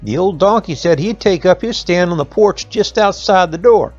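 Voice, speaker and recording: A man's voice: sits high, expressive, moderate pace, a little mellow, dull audio, soft background music. A man telling a folk tale in a folksy oral style, with rustic phrasing and conversational asides. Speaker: storyteller.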